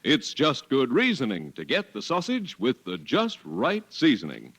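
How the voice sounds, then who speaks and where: A man's voice: medium pitch, huge pitch swings, a little slow, fairly bright tone, slight background noise. A man with an American accent reading as a polished, emphatic announcer in 1940s radio style. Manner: polished, emphatic salesman delivery. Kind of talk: 1940s radio sponsor read and scripted narration.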